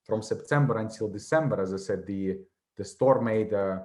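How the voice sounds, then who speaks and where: A man's voice: on the low side, moderate pace, a little mellow. A man in his twenties from Latvia, a native Russian speaker, chatting as an interview guest in a rambling way, often repeating "you know" and "as I said". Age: 20s. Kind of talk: casual interview chat.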